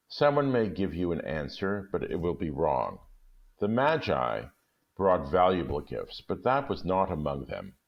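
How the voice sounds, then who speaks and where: Brief: male story reader, very deep and gravelly, lively storytelling, dull lo-fi recording. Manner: expressive, lively. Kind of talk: storytelling narration.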